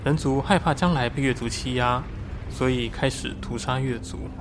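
A man performs an earnest, excitable young man of a shapeshifting tribe in an audio drama. His voice sits in a middle register. He explains things eagerly and expressively. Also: mid-range voice, moderate pace, noisy background, close to an everyday voice. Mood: solemn storytelling. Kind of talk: Chinese audio drama acting.

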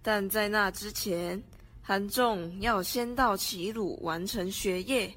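A woman's voice: medium pitch, takes it slowly, fairly bright tone. A teenage girl narrating a scripted story for a student radio drama. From Taiwan. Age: teens.

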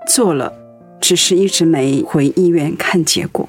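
An actress playing a devoted, anxious wife in a radio drama, speaking tenderly and earnestly with feeling. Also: female voice, low voice, unhurried, faint music behind. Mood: afraid. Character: devoted, anxious wife caring for her sick husband.

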